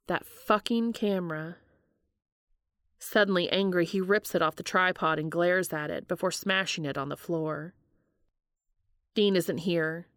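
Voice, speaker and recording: A woman's voice: on the low side, a little slow, long pauses, a bit bright, clean tone, dry recording, studio quality. A woman reading scripted fiction aloud as an audiobook narrator. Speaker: fan fiction audiobook reader.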